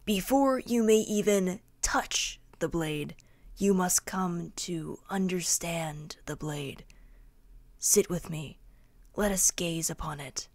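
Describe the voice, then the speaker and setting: A woman's voice: on the low side, takes it slowly, darkish tone, dry recording. A woman playing a haughty, vengeful royal heir and swordmaster, speaking formally in a fantasy audio drama. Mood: solemn, haughty.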